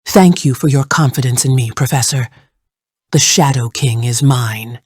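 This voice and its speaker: A woman's voice: very deep voice, moderate pace, darkish tone, slightly rough, no echo, studio quality. A woman playing a proud, determined superheroine in a video game, speaking formally. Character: proud, resolute adult superheroine. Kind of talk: scripted video game character acting.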